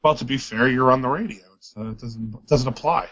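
Hoarse man chatting on a podcast. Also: medium pitch, fairly quick, a bit bright. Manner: rambling, wry. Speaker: podcast guest. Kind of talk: casual comedy podcast chat. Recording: slightly dull lo-fi audio.

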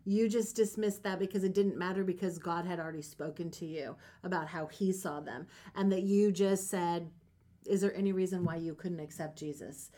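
A woman's voice: lowish voice, warm tone. A woman hosting a podcast, talking conversationally about faith with a guest in an earnest, encouraging way. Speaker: podcast host.

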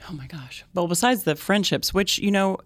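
A woman's voice: fairly low pitch, moderate pace, dry recording. A young woman, a mezzo-soprano, chatting enthusiastically in an interview and laughing often between rambling asides. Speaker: opera and concert singer, guest. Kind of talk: casual interview chat.

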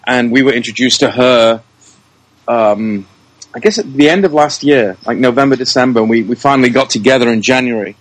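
A man gives casual interview answers in a medium-pitched voice. He speaks hesitantly, working through his thoughts aloud, and the sound is very dry with hardly any room to it. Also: brisk, no echo, rough recording. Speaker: interview guest, show creator, writer and director.

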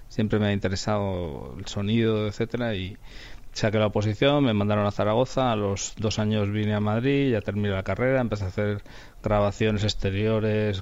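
A middle-aged man with a fairly low voice and a Spanish accent from Spain speaks as an interview guest. He explains things informally, and the sound is a little muffled. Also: darkish tone, clear, resonant tone, dry recording. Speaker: interview guest, radio producer and sound technician.

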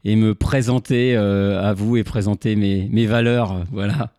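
Man, fifties, from Normandy, lowish voice, interview guest, hesitant, word repetitions, dry sound. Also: fairly even intonation, mellow, no echo. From Normandy, France. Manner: hesitant, halting, repeats words while searching. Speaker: interview guest, insurance broker. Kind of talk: conversational interview answers. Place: indoors, radio studio.

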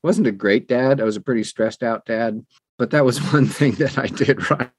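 A man in his sixties chatting as an interview guest, telling personal stories in an animated way and acting out quoted dialogue. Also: medium pitch, moderate pace, slightly rough, no room sound, studio quality. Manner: animated storyteller who acts out quoted dialogue. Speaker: guest, audiobook narrator. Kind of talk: conversational personal storytelling.

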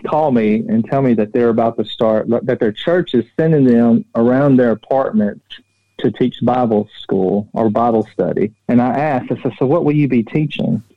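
A middle-aged man telling personal stories as an interview guest, plain-spoken and halting, often repeating words. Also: medium pitch, no room sound. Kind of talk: casual personal storytelling. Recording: dull, muffled but dry audio.

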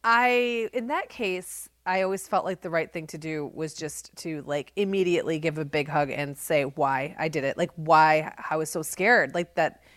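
A woman in her forties co-hosting a podcast, telling stories in a loud, animated, projecting voice. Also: low voice, normal speed, hardly any pauses, no room sound, clean studio sound. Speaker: podcast co-host. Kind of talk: casual chat.

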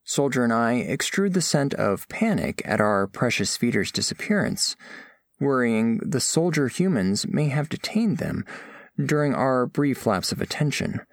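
A man's voice: medium pitch, very animated, a little slow, dry recording, studio quality. A man voicing an earnest alien scholar diplomat, narrating an audio drama in formal, stilted, precise phrasing. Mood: concerned, formal.

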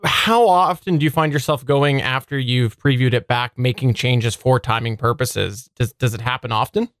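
A man's voice: medium pitch, normal speed, a bit bright, no room sound, studio quality. A man co-hosting a podcast, asking a guest questions in a conversational way. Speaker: podcast co-host, interviewer. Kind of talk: conversational interviewing.

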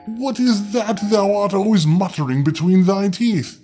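A man's voice: sits high, measured pace, warm tone, faint music behind, voice pushed up. A man voicing a brusque, nosy but helpful wagoner in a storybook tale, with archaic phrasing. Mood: prying.

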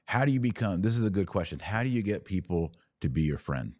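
A man's voice: low voice, lively intonation, fast talker, a little mellow, dry recording, clean studio sound. A man hosting a livestream podcast, giving informal, earnest advice and often posing questions that he answers himself. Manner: earnest, exhorting, poses questions and answers them. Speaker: podcast host answering live viewers.

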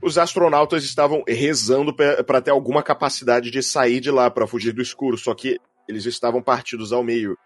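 A man's voice: mid-range voice, steady tone, brisk, no room sound. Brazilian man explaining emphatically, dry sound. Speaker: podcast co-host giving analysis. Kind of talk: casual, opinionated analysis. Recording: close dry sound, faint music. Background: music.

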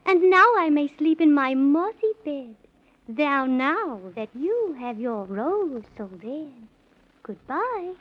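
Gentle magic flower lady, high voice, slow solemn rhymes, muffled old 30s radio. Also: animated. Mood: kindly. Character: gentle, solemn enchanted rose lady blessing travellers. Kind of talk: 1930s children's radio serial acting, rhymed verse. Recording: band-limited vintage 1930s radio recording.

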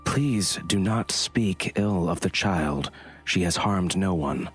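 A formal, gentle alien creature, voiced by a man with a very deep voice and courteous, measured words. Clear music plays underneath. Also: big pitch swings, full character voice.

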